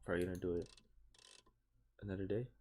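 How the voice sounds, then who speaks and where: A man's voice: sits low, flat delivery, fast, noisy background. A man giving casual gameplay commentary as a streamer, offhand and thinking aloud. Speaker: game streamer.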